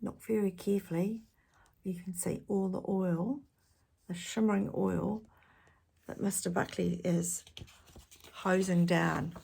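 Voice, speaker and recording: A woman's voice: lowish voice, steady tone, takes it slowly, vocal fry, long pauses, dark tone, husky. A woman storyteller reading aloud to children expressively, with chatty asides and questions to her listeners.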